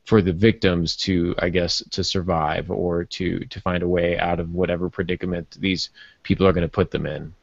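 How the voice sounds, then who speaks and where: Man, lowish voice, podcast panelist, measured and halting, casual literary chat, close dry sound, slightly dull audio. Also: level tone, creaky, dry recording.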